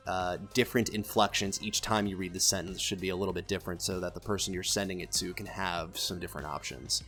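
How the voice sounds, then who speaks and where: A man with a nasal voice chatting casually as a guest voice actor, self-deprecating and laughing often. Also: on the low side. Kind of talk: casual chat.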